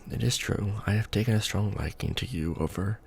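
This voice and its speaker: A man playing a courtly, doting vampire lord in an ASMR romance roleplay, speaking formally and deliberately with tender pauses. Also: fairly low pitch, creaky, darkish tone, slight background noise. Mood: earnest, tender.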